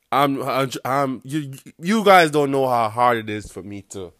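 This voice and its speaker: A man's voice: mid-range voice, a little slow, fairly bright tone, dry recording, clean studio sound. A young man from Jamaica with a Jamaican accent, hosting a casual solo podcast with rambling, profane talk full of "um"s. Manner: rambling, profane, laughs often, many "um"s. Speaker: podcast host.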